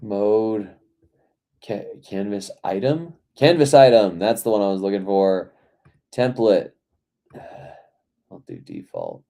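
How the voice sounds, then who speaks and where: A hoarse man losing his voice, casually rambling and thinking aloud as he works through a game dev log. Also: lowish voice, very animated, slow, pauses often, warm tone. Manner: rambling, mutters half to himself. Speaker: indie game developer.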